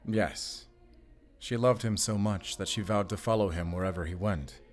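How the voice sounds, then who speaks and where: A haunted werewolf hunter, played by a man in an audio drama. His voice sits low, and he speaks tersely and solemnly, guarded and defiant. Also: moderate pace, close to an everyday voice. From Germany. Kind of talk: cinematic audio roleplay acting.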